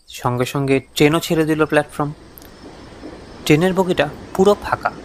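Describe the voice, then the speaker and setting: A man narrating a scripted horror story in the first person, with a suspenseful, expressive, dramatic delivery. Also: on the high side, noisy background.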